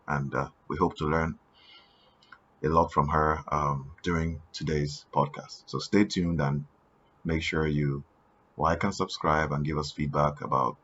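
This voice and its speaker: A man hosting a podcast, soft-spoken and hesitant, who often clears his throat and uses fillers. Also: a bass voice, dull audio, a little hiss or hum. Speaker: podcast host. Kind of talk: interview hosting.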